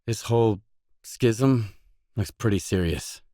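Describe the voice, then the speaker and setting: An actor voicing a gruff, guarded stalker mercenary in a video game, blunt, terse and no-nonsense. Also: male voice, fairly low pitch, takes it slowly, warm tone, no room sound, clean studio sound. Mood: terse, businesslike. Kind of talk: video game dialogue lines.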